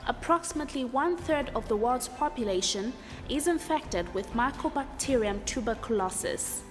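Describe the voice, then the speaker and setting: A woman reading scripted documentary narration in a formal, measured way. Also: a little high, expressive, in a large hall. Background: clear music.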